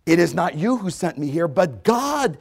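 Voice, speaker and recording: A man preaching a sermon, reading scripture aloud and expounding on it. He repeats key phrases for emphasis and swings between loud exclamations and hushed asides. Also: high-pitched, sing-song, moderate pace, creaky, husky, soft background music.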